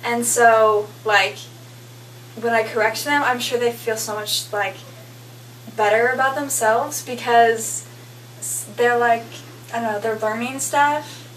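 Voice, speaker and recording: A woman's voice: fairly high pitch, steady tone, slow, fairly bright tone. A woman in her twenties from Utah, chatting and teasing about her roommate with lots of fillers. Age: 20s. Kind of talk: casual chat to camera. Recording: echoey room, distant mic, faint hum.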